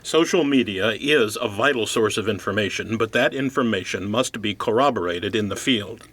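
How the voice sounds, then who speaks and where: An actor playing an impatient, self-important radio news reporter in an audio drama, speaking in a precise, pedantic way. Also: male voice, fairly low pitch, moderate pace, fairly bright tone, husky. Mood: impatient, defensive. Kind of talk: audio theater acting.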